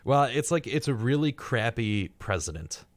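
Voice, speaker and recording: A man's voice: mid-range voice, very animated, normal speed, no room sound. A man co-hosting a casual podcast discussion, reading out facts in a dry, dismissive way. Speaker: podcast co-host.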